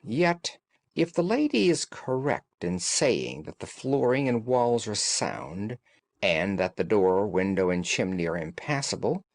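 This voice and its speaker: A man voicing a shrewd gentleman detective in an audiobook, calm, analytical and authoritative, with formal, deliberate phrasing. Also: fairly low pitch, dry recording. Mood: pondering.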